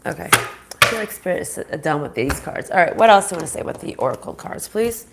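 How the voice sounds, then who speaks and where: Woman, low-pitched, nasal and stuffed up from a cold, chatty live card reader, clear background noise. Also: lively intonation, fairly quick. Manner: chatty, stops and restarts, greets viewers by name. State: sick with a sinus infection. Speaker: spiritual reader and channel host. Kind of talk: live-streamed oracle card reading.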